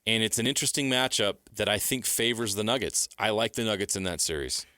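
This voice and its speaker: A man's voice: on the low side, fast talker, bright, forceful tone, clear, resonant tone, dry recording, clean studio sound. A man co-hosting a sports radio show, casually debating in an opinionated, declarative way.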